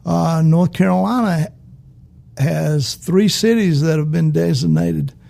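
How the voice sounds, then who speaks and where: A man hosting a casual interview, gravelly and husky, relaxed and quick to laugh. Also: fairly high pitch. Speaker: host.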